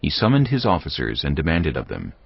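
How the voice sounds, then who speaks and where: A man reading scripted Bible narration in a grave, measured storytelling manner. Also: very deep voice, wildly swooping pitch, vocal fry, husky, dry recording, dull audio.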